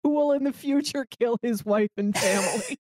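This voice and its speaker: A woman's voice: on the high side, normal speed, a little mellow, dry recording, clean studio sound. A woman co-hosting a podcast in a casual conversation about movies. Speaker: podcast co-host.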